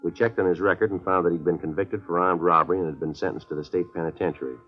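A man acting as a terse American police detective from a 1950s radio drama, very low in pitch and quick in pace. He narrates in a clipped deadpan. The old recording is muffled. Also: faint music behind, lightly acted. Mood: flat, businesslike. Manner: deadpan, clipped, matter-of-fact. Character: terse, businesslike male police detective narrating his case.

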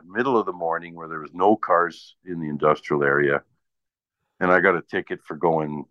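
A Canadian man with a low voice tells casual stories in a blunt, profane way. He sounds exasperated as he rambles on. The audio is dull and lo-fi, with the echo of a room around him. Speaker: radio presenter. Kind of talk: casual chat, ranting anecdotes.

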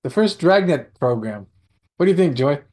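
A man co-hosting an informal show, chatting casually in a halting way and often repeating his words. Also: on the high side, animated, darkish tone. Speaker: co-host running the muting. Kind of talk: casual chat.

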